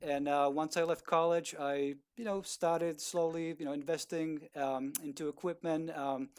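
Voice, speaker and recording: A man with a Czech accent speaking as a guest on a video call, polite and halting, with many fillers and restarts. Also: fairly high pitch, steady tone, a little mellow, clear, resonant tone. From Czech Republic. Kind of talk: casual talk on a video call.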